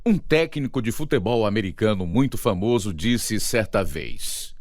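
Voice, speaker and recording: A man's voice: fairly low pitch, expressive, normal speed, a little mellow, no echo, clean studio sound. A Brazilian man narrating and announcing a radio drama from a script.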